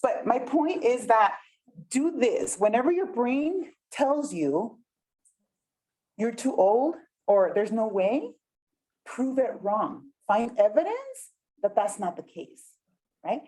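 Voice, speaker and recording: A woman whose first language is Spanish runs a community workshop with a fairly high voice. Her pitch jumps around a lot as she talks. She chats with the group and keeps asking whether they follow. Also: very animated, slow, mellow, rough recording. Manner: chatty, often asks "right?" to check in. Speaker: workshop facilitator. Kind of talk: interactive workshop teaching. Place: meeting room, with some people online.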